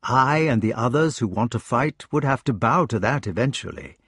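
A man voicing a kindly old king who speaks softly and soothingly. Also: mid-range voice, lively intonation, normal speed, slightly rough, no echo, studio quality. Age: older. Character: old, gentle, fatherly male king.

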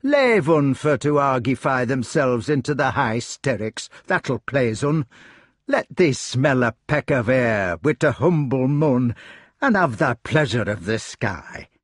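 A man's voice: mid-range voice, animated, slow, no echo, clean studio sound. A man voicing a small, indignant, vulgar hedgehog in a storybook, with a rustic English country dialect and a truculent, folksy, sarcastic manner. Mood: gruffly tender. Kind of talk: audiobook character voice.

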